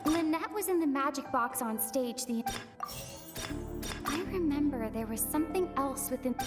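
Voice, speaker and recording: A woman's voice: sits high, expressive, moderate pace. A woman voicing an earnest, determined young female traveler in a game cutscene. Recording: game audio with music.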